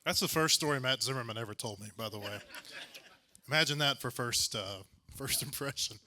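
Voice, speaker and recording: Bright-voiced man hosting casually. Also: medium pitch, fairly quick, slight background noise. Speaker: host and sports journalist interviewing a guest. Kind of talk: casual conversational interviewing.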